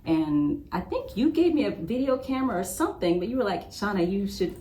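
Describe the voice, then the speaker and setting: A woman's voice: medium pitch, big pitch swings, fairly quick, dark tone, roomy sound. A woman hosting a casual interview, reminiscing with enthusiastic, gushing delivery. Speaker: interviewer and host. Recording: clearly noisy recording.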